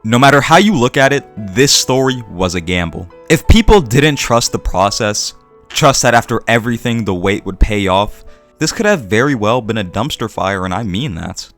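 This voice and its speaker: A man with a fairly low voice delivers a scripted opinion essay for his channel. His phrasing is earnest and emphatic, and music plays behind him. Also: sing-song, normal speed, a bit bright. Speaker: video essayist, channel host.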